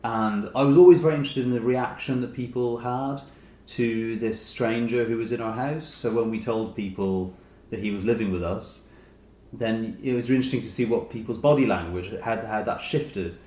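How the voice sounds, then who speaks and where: A man's voice: mid-range voice, normal speed, a little mellow. A man in his late forties giving a reflective, hesitant interview, thinking aloud as he goes. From Dublin, Ireland. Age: late 40s. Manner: hesitant, thoughtful, hedging with "sort of". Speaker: interview guest, short story writer. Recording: roomy echo, noticeable background hiss.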